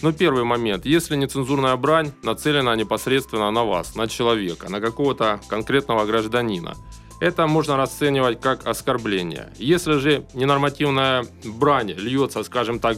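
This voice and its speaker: A male lawyer guesting on a morning radio show, laying out the law in a measured, explanatory way. His voice sits in a middle pitch. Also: a bit bright, faint music behind. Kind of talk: radio interview answers.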